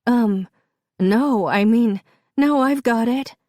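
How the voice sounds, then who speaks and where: A woman's voice: a little high, slow pace, darkish tone, dry recording, pitched above the performer's own voice. A woman narrator playing an overwhelmed mother nearing forty, flustered, apologetic and close to sobbing. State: on the verge of sobbing.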